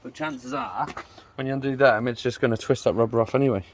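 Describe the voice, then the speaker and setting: A man's voice: medium pitch, fast talker. Man, matter-of-fact mechanic, teasing banter, faint background hiss. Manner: matter-of-fact, teasing, explains the technical details. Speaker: mechanic helping with the build. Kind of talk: casual workshop chat. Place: garage workshop.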